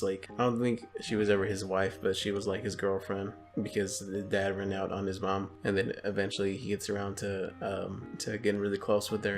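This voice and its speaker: Low-voiced man rambling casually. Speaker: podcast co-host. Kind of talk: casual comedic chat.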